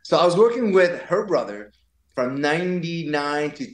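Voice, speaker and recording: A man's voice: fairly high pitch, expressive, normal speed, echoey room. A man in his forties telling stories casually as a podcast guest, playful, joking and prone to digress. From born in Taiwan. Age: 40s. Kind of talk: casual conversational storytelling. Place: indoors in a pool house.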